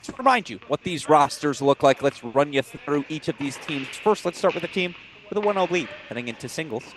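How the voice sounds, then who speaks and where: A man doing play-by-play commentary for a college tennis broadcast, brisk and informative, with brief apologetic asides. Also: on the high side, expressive, a bit bright, slight background noise.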